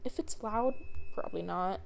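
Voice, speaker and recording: A woman's voice: mid-range voice, moderate pace, vocal fry, slight background noise, lightly acted. A woman voicing an anxious young boy in a story, talking casually and hesitantly. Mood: reluctant, worried. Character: anxious, stubborn young boy with hero dreams.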